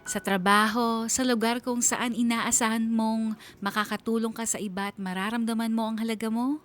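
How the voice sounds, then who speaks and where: A woman's voice: fairly high pitch, level tone, moderate pace, fairly bright tone, faint music behind, lightly acted. A woman playing a weary, anguished letter writer, narrating a scripted radio drama in a confessional, sorrowful manner.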